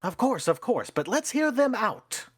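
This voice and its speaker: An actor playing a courteous but brisk theatre company manager, a man speaking in a stage drama. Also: very high-pitched, sing-song, breathy edge, no room sound, exaggerated voice. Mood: curious, impatient.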